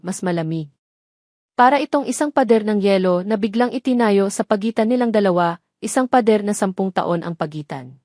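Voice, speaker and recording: A woman reading a scripted romance story in a measured, solemn, dramatic narrating manner. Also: medium pitch, darkish tone, no echo, clean studio sound.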